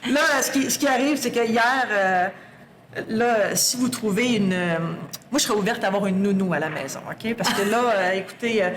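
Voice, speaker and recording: A woman's voice: mid-range voice, normal speed, a little hiss or hum. Candid talkative Québécois woman. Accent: Québécois French. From Quebec. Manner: candid, talkative, often restarts her sentences. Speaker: guest, sustainability executive. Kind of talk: casual podcast conversation.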